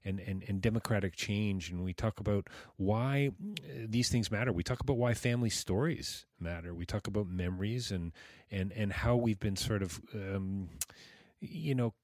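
A man hosting an interview show, reading promos and talking in a halting way full of restarts and fillers. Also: fairly low pitch, darkish tone, no room sound, clean studio sound. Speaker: podcast host. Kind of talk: casual interview with promo reads.

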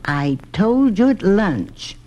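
An older American wife and mother in a vintage radio serial. She fusses and chides fondly, her voice rising and falling in lively swoops. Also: mid-range voice, slow pace, highs cut off. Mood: chiding, motherly. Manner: chiding, motherly. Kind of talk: old-time radio drama acting.

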